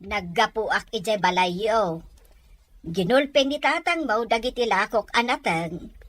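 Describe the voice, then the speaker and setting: A woman playing an older village vegetable seller in an audio drama, chiding and exasperated yet polite, in a measured delivery. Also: mid-range voice, fairly bright tone, no room sound. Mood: mildly annoyed. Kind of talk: Ilocano audio drama acting.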